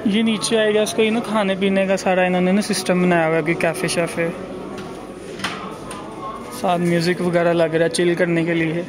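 A man's voice: sits high, moderate pace. Chatty man touring a bazaar. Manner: relaxed, chatty, musing aloud. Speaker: travel vlogger. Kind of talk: casual walking tour commentary. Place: outdoor market courtyard. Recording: busy crowd noise behind.

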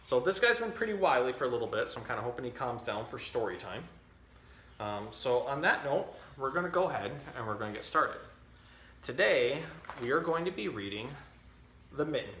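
A man in his thirties hosting a storytime, reading a children's picture book aloud with expressive, clearly enunciated delivery. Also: mid-range voice, fairly quick, slight background noise. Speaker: storytime host. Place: indoor tiled-floor room. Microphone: camera a few feet away. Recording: echoey room.

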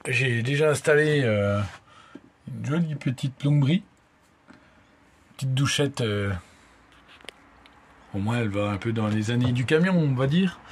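A young man talks informally to his phone at arm's length. His delivery is wry and colloquial, at a middling pitch. There is faint noise around his voice. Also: measured pace, pauses often. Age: young adult. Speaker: vlogger. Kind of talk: casual vlog chat.

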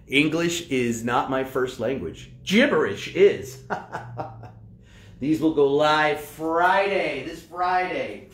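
A man's voice: fairly high pitch, animated, very slow, pauses often. An American man hosting a live shopping show in a casual, jokey, enthusiastic way. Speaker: host of a comics shopping show. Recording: roomy echo, distant microphone.

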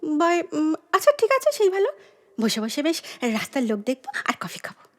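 A woman's voice: high-pitched, very animated, brisk. An actress playing a naive, childlike wife in a comic audio drama, coaxing and repeating her words in a playful, theatrical way. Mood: excited, wheedling. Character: childlike, chirpy, naive wife, cheerfully wheedling her exasperated husband.

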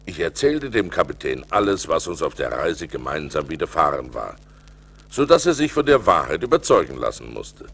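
A man's voice: rumbling low, sing-song, normal speed, a bit bright, husky, heavily acted. An actor playing an adventurous seafarer recounting his voyages in a theatrical, precise German audio-play style, on a vintage vinyl record. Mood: reflective, suspenseful. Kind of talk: dramatic scripted storytelling, audio play.

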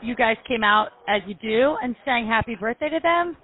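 A woman in her thirties with a highish voice speaks on a narrow, dulled recording. She improvises a prank call in a polite, deliberate way. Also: lively intonation. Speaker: prank caller on a comedy show. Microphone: headset microphone.

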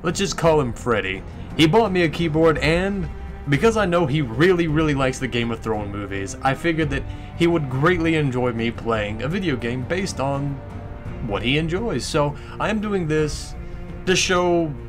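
A man's voice: a little high, expressive, moderate pace, over music. A man hosting a let's play with casual, rambling gameplay commentary and some swearing.

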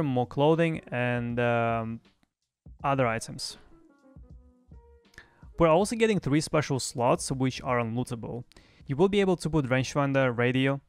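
Young adult male gaming news presenter, creaky edge, mid pitch, home streaming setup. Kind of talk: semi-scripted news recap. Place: small home streaming room. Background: faint music.

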